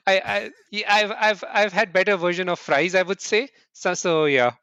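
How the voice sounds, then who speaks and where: A middle-aged man from India, answering interview questions earnestly in quick bursts and often restarting with "right?". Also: sits high, sharp tone, clear, resonant tone, no room sound, lo-fi sound. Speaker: podcast guest.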